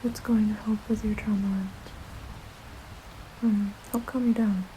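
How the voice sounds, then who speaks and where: A woman's voice: mid-range voice, flat delivery, slight background noise, lightly acted, low-quality recording. Woman as a whispering, nurturing vampire mother. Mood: reassuring. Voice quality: soft, breathy whisper. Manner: slow, soothing, halting, reassuring. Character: gentle, nurturing vampire mother, centuries old. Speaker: ASMR roleplay performer. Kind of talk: whispered ASMR roleplay.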